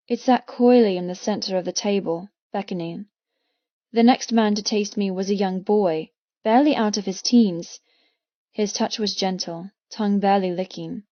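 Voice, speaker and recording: A woman narrating a first-person audiobook with expressive, intense, dramatic delivery. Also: medium pitch, dry recording. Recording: slightly muffled, dry close studio sound.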